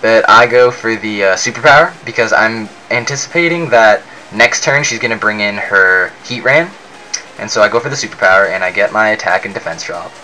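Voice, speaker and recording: A young man narrating a video game battle in a chatty, excitable way, his voice a bit off from being sick. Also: fairly low pitch, brisk, a little hiss or hum, poor audio. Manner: chatty, excitable, many fillers. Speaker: game commentator. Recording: faint music behind.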